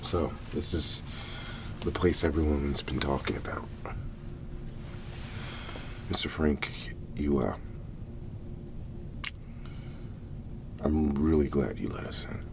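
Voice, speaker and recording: A man playing a hesitant government agent in an ASMR roleplay, speaking in a soft, gravelly half-whisper with halting, reassuring delivery. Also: extremely low pitch, background noise, exaggerated voice. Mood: hesitant, diplomatic. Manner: halting, deliberate, reassuring, with long pauses. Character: hesitant, placating male government agent negotiating with a farmer.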